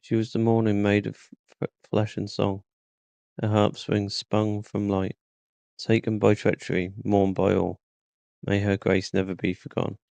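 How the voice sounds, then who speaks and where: A man's voice: fairly low pitch, completely flat delivery, measured pace, vocal fry, mellow, dry recording. A man playing through a video game, reading its text aloud as he goes. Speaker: gaming video creator playing a role-playing game.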